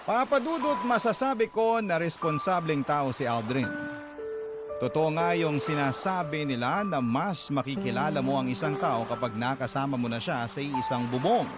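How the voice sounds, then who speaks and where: A man reading a listener's letter in the first person as scripted radio drama narration, with a steady, measured delivery. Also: on the high side. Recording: loud background music.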